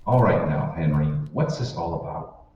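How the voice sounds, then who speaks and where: Heard from far off in a very echoey room, a low male voice swings widely in pitch. It is an actor as a bewildered, skeptical ordinary husband in a radio play, full of puzzled questions. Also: animated, moderate pace, darkish tone. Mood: puzzled, wary. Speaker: amateur stage actor. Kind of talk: old-time radio drama acting. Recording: roomy, distant, dull hall recording with hiss.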